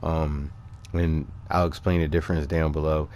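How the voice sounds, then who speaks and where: A man giving casual, unscripted gaming commentary in a halting, informal way as he walks viewers through his character setup. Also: rumbling low, little pitch movement, a little mellow, slight background noise. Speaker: gaming video creator presenting character builds.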